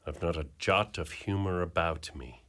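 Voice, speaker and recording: A man playing a brooding, jealous warrior husband in an amateur Shakespearean radio drama, with a slow, declamatory delivery. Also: low voice, fairly bright tone. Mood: brooding, ominous.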